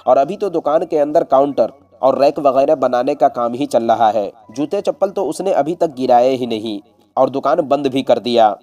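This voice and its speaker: A man's voice: mid-range voice, dark tone. A man telling a true-crime story as a scripted narrator, in a dramatic, explanatory storyteller's manner.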